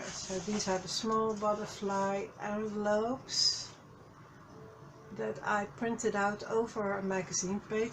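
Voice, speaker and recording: A woman with a slightly hoarse voice, hosting a casual craft tutorial over her desk, slow and halting, with many fillers and restarts. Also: mid-range voice, background noise. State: a little hoarse. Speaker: craft channel host. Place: craft desk, overhead camera.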